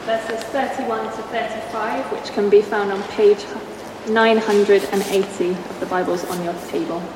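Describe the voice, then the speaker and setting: A woman reading a Bible passage aloud in church at a steady, measured pace. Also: medium pitch. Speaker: scripture reader. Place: church hall. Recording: echoey hall from far off, noisy.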